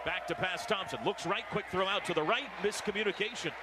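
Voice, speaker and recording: High-pitched American man calling football over loud noise. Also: fairly quick. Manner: clipped phrases that build to excited shouts. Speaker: radio play-by-play announcer. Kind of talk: live football commentary. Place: stadium broadcast booth. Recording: loud stadium noise behind.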